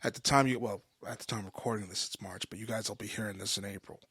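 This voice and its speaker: A man in his mid twenties giving a personal podcast monologue, halting and often restating himself for emphasis. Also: on the low side, fairly even intonation, fairly bright tone, breathy edge, no room sound, studio quality. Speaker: podcast host. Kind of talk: personal monologue, confessional storytelling.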